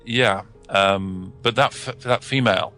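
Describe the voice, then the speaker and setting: A man speaking in a hesitant, halting way as he discusses esoteric symbolism. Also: fairly low pitch, sharp tone, soft background music.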